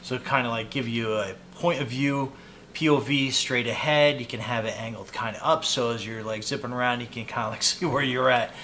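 A man in his fifties giving a casual, unhurried hands-on product demo, thinking aloud as he goes. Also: mid-range voice, sharp tone. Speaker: product reviewer and video host. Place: small indoor hobby room at a desk. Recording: clear background noise, room ambience.

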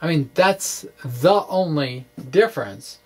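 Slow-paced middle-aged man, halting and wry. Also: on the high side, lively intonation, a little mellow. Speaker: physics blogger. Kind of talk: informal dramatic reading of a physics explainer. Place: small indoor room with bookshelves. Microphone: microphone on a stand in front of him.

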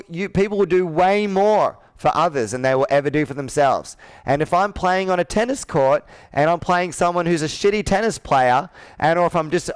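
A man's voice: on the high side, clear, resonant tone, dry recording. A man in his thirties working as a sales coach, talking persuasively and assertively, with probing rhetorical questions. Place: indoor office with a flip chart, on a video call.

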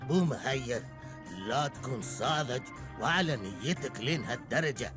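Male actor as a self-important Emirati cartoon driver, mid-pitch, lecturing and scheming. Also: expressive, normal speed, over music, close to an everyday voice. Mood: smug. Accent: Emirati Arabic. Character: smug scheming middle-aged bus driver. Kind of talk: Gulf Arabic cartoon dub.

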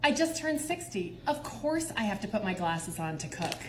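A woman's voice: mid-range voice, big pitch swings, normal speed, a little hiss or hum. A woman of sixty giving a wry comic monologue built on a repeated refrain. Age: 60s. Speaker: website founder in a played clip.

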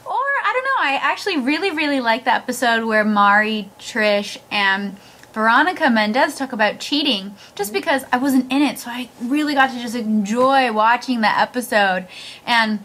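A woman's voice: fairly high pitch, lively intonation, normal speed, a bit bright. A young woman co-hosting a talk show, chatty and rambling. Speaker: co-host. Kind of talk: casual chat over video call.

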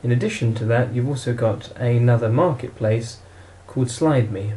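A man with a mid-pitched, mellow voice talks through how a device works. His delivery is matter-of-fact and instructional, like a straightforward demonstration. Some ambient noise sits behind the muffled recording. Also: moderate pace. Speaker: product demonstrator. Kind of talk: instructional product walkthrough narration.

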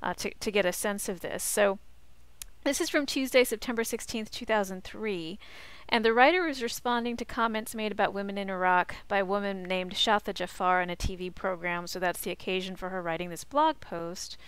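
Very clear-voiced woman giving a lecture. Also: mid-range voice, a little fast, fairly bright tone, dry recording. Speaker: college instructor. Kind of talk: lecture over slides, reading excerpts aloud.

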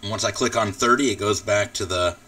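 An American man giving a casual, informal software tutorial as he explains things step by step. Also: lowish voice, fairly quick, recorded from a distance. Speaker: tutorial presenter, radio hobbyist. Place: indoors at a computer.

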